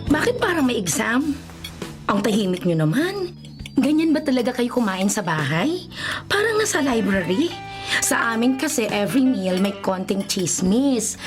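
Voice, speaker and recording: A woman's voice: on the high side, sing-song, moderate pace. A woman with a Filipino accent playing a gushing, insistent young big-sister figure in a radio drama. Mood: bossy, affectionate. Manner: gushing, insistent, mixes in English phrases. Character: bubbly, bossy, affectionate big-sister woman, Ate Karen. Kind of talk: Tagalog radio drama acting. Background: music bed.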